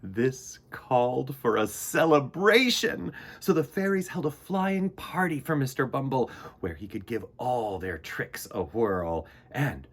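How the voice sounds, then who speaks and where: A man hosting a children's storytime, reading and chatting to young listeners in a folksy, emphatic, expressive way. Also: mid-range voice, a little slow, few pauses. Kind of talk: animated storybook narration and friendly address to children.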